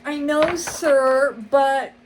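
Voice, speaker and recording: A woman's voice: sits high, steady tone, extremely slow, slight background noise, voice pushed up. A woman voicing a poor, meek old male mole who stammers and apologizes in a storybook reading.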